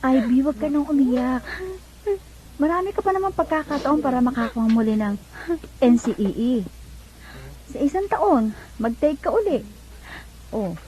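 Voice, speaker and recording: A woman's voice: sits high, animated, normal speed, long pauses, mellow, a little hiss or hum. An actress playing an earnest, encouraging young female friend in a Filipino radio drama. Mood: consoling. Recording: dull lo-fi radio drama recording.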